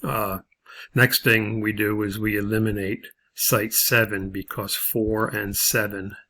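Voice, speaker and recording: A man's voice: lowish voice, flat delivery, a little slow, pushed and bright. A man giving an informal video lesson as an instructor, explaining methodically step by step in a hesitant way.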